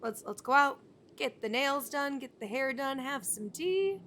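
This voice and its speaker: A woman's voice: high voice, lively intonation, a little slow, a bit bright, a little hiss or hum. A woman game streamer giving casual live commentary in an informal, wry, reactive way.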